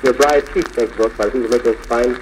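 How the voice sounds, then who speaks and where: A man's voice: medium pitch, fairly even intonation, fast, darkish tone, a little hiss or hum, low-quality recording. A man hosting a 1940s radio show in the announcing style of the era, on an old recording.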